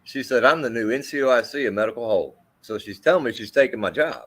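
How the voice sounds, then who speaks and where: A middle-aged army veteran with a deep, carrying voice tells a personal story in an interview, speaking haltingly with many fillers and restarts. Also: male voice, lively intonation, fairly bright tone.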